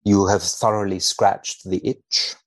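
A man speaking as a researcher guest on a podcast, commenting and reading in measured, formal wording. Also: low-pitched, flat delivery, dry recording. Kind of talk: conversational commentary and reading aloud from a study.

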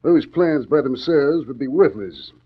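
Husky, stern police inspector. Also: male voice, mid-range voice, expressive, unhurried. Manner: blunt, official, stern. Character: blunt, stern, older male police inspector. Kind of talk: 1940s radio drama acting. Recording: muffled vintage radio recording.

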